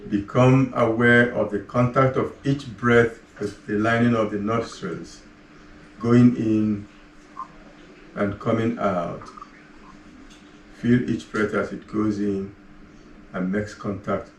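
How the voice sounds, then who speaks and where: A man leading a guided relaxation, giving slow, soft, calm cues with long pauses between them. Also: fairly low pitch. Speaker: meditation guide. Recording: roomy echo, voice far from the mic, faint ambient hum.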